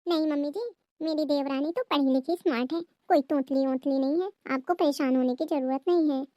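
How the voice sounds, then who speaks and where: A woman playing a confident, protective elder sister-in-law with a brisk, defensive manner in a family comedy skit. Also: high-pitched, mellow, no room sound, clean studio sound, natural voice. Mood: reassuring.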